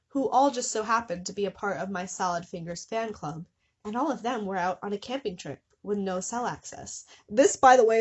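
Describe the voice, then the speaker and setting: A young woman hosting a casual comedic reading of fan fiction, amused and often breaking off with mock-suffering asides in a slightly husky voice. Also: mid-range voice, dull audio. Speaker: host of a small channel.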